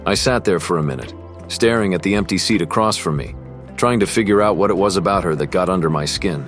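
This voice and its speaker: A man playing a cocky rich high school boy who is quietly smitten, narrating and speaking in a teen romance drama. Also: quick pace, faint music behind. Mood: brooding, reflective. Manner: smug, cool, guarded. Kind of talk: first-person narration and dialogue in a teen romance.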